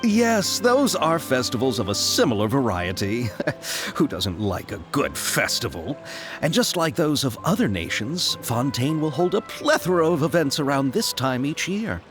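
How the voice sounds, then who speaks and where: A man playing an earnest, excitable and courteous filmmaker in a video game, speaking in a wordy, bookish, theatrical way. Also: mid-range voice, normal speed, over music, lightly acted. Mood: cheerful, explaining. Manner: polite, wordy, theatrical, hesitant when flustered. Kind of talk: animated game dialogue.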